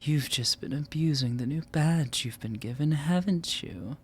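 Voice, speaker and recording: A woman's voice: low-pitched, unhurried, husky. A woman performing a scripted roleplay as a teasing, domineering superior, in a sultry, condescending drawl. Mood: teasing, scolding. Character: teasing, domineering female superior scolding her agent.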